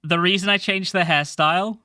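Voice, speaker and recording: A man streaming a game, chatting casually to his viewers in a soft voice, rambling and swearing offhandedly. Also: high voice, fairly even intonation, a little fast, no echo, clean studio sound. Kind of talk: casual livestream chat.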